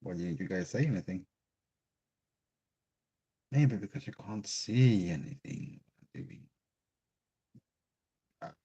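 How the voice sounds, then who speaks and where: A man with a Swedish accent, native Swedish, chatting slowly and hesitantly on a crafting live stream, with frequent restarts. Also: on the low side, animated, mellow, breathy edge. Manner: slow, hesitant, rambling with many restarts. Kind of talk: casual live-stream chat while crafting. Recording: dull lo-fi stream audio.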